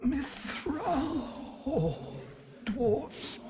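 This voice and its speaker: Very slow, halting dead dwarf. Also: female voice, high-pitched, very animated, dark tone, exaggerated voice. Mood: eerie, drained. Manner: halting, faint, fragmentary answers. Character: dead female dwarf miner answering from beyond the grave. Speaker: video game character voice.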